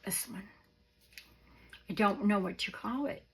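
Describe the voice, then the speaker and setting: A hoarse woman showing off craft supplies in a casual show-and-tell, gushing softly and often dropping to a whisper. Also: mid-range voice, unhurried, a little hiss or hum. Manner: soft-spoken, gushing, often drops to a whisper. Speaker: craft vlogger.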